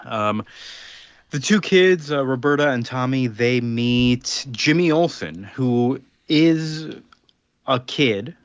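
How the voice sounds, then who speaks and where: A man's voice: medium pitch, animated, slow, no echo, muffled recording. A man casually recapping a comic book plot on a podcast, rambling with filler words and little laughs. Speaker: podcast co-host.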